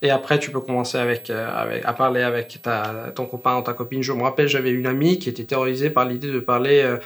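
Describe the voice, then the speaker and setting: A man in his thirties from Italy with an Italian accent, talking as a language-teacher guest in a relaxed, rambling way with many fillers and restarts. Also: mid-range voice, fast talker. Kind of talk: casual conversational interview.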